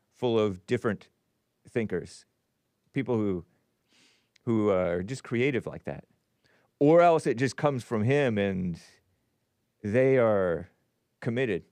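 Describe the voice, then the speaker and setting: A man's voice: mid-range voice, a little mellow, no echo. A man hosting a call-in talk show, rambling through the news with opinions and frequent restarts. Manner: rambling, opinionated, self-interrupting. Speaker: host. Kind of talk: casual talk radio monologue.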